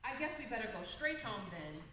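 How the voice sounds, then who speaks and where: Woman acting a bright, animated, breezy mom. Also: fairly high pitch, fairly quick. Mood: breezy, fond. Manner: breezy, folksy. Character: breezy, affectionate working mother, a TV news reporter. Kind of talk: lively radio comedy acting. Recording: echoey hall, faint noise.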